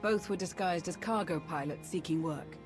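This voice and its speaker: An actress playing a businesslike security officer giving a report in a video game. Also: female voice, on the low side, normal speed, music in the background, natural voice. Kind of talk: dramatic game voice acting.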